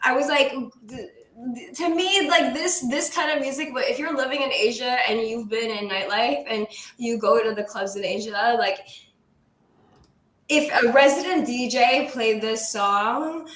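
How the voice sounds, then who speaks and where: A woman's voice: on the high side, measured pace, fairly bright tone. A woman co-hosting an internet radio show in casual chat, rambling with many restarts and fillers. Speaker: radio co-host. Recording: roomy echo.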